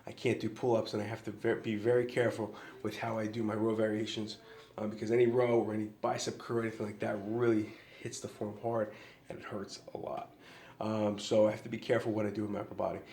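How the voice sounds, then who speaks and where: A man's voice: lowish voice, a little mellow. Guy with a cold, kinda nasal, rambly vlog chat, echoey room. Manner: loose, rambling, many ums and restarts. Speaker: fitness vlogger. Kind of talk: casual update to camera.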